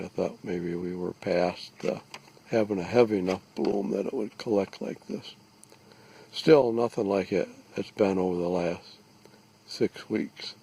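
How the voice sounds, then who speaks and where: A man giving a halting, deliberate, matter-of-fact informal field narration outdoors, recorded on a handheld device. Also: on the low side, creaky, dark tone, husky. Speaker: lake resident surveying an algae bloom. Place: outdoors, out on a small lake. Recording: faint outdoor background noise.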